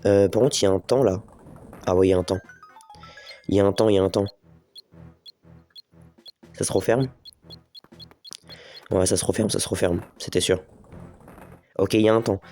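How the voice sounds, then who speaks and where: A man's voice: sits low, steady tone, moderate pace, pauses often, warm tone, faint music behind. A man with a slight head cold doing casual let's play commentary, thinking aloud and talking straight to his viewers. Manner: chatty, thinks aloud, talks to viewers as les gars. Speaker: gaming video host.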